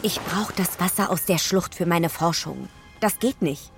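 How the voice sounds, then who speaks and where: A woman's voice: on the low side, a little slow, soft background music. A woman playing a worried astronaut scientist. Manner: anxious, protective objections. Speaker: scientist crew member. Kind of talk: audio drama acting.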